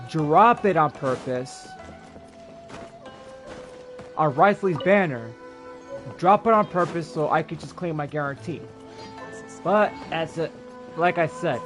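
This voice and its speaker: A male game streamer with a slightly high voice talks casually to viewers. He rambles, stops and starts, and circles back over phrases. Soft music sits in the background. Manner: rambling, halting, repeats himself. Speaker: game livestreamer. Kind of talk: casual livestream chat.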